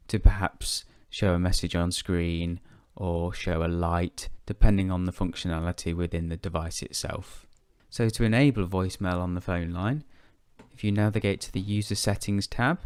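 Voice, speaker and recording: Low, smooth-voiced man narrating a tutorial. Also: a little mellow, no echo, studio quality. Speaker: software tutorial presenter. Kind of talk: step-by-step software tutorial narration.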